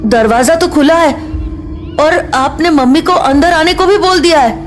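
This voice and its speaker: A woman's voice: high voice, normal speed, a little hiss or hum, natural voice. A woman playing a frightened, earnest young village boy in a horror audio drama, hesitant and stammering. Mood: nervous, questioning.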